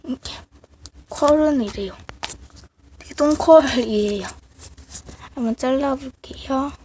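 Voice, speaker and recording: High female voice, voice off from a cold, casual craft show-and-tell, halting, noisy amateur recording. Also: darkish tone. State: has a cold, voice sounds off. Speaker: craft video creator. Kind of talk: casual show-and-tell of handmade clay miniatures.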